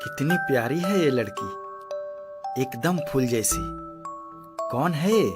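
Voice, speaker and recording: A man's voice: mid-range voice, animated, slow, over loud music. A man playing an earnest, resolute young engineer and devoted suitor in a moral story drama. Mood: smitten. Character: earnest, lovestruck young male engineer, firm-minded son. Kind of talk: Hindi moral story voice acting.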